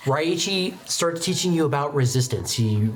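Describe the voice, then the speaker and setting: A man's voice: on the high side, expressive, normal speed. A man working as game master, narrating an improvised tabletop game in a casual, theatrical way. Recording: music playing behind the voice. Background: background music.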